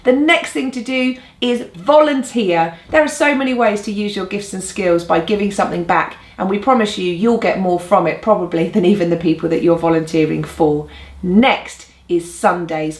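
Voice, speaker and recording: A woman presenting church announcements in an upbeat, earnest and encouraging way, with emphatic phrasing. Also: on the low side. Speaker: church leader presenting a newsletter. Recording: roomy indoor echo.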